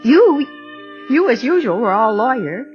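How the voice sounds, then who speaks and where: A woman's voice: on the high side, unhurried. An actress playing a flustered, chatty secretary who tells her story in old radio suspense style. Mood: earnest, brisk. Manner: conversational, expressive storytelling. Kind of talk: 1940s-50s American radio suspense acting. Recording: muffled vintage radio recording, music behind.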